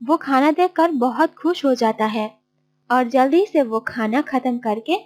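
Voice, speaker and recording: A woman's voice: high voice, unhurried, a little mellow. A woman narrating a scripted children's story.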